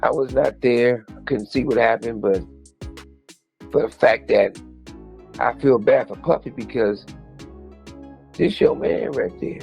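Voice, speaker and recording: Male interviewee, creaky mid-pitched voice, casual, hesitant, circles back on his points. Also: a little slow, pauses often, darkish tone, faint music behind.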